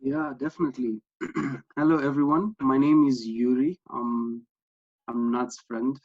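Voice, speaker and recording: A man's voice: medium pitch, fairly even intonation, unhurried, a little mellow, no echo. A young man from Kenya with a Kenyan accent, earnestly presenting an online workshop over a video call, with many fillers and restarts. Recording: slightly dull lo-fi sound.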